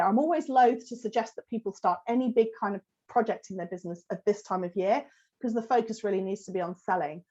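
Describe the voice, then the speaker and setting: A woman, croaky from a cold, giving a conversational business webinar and answering questions from the chat. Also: a little high, darkish tone, lo-fi sound. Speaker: host giving a business talk. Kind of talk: live webinar with Q&A.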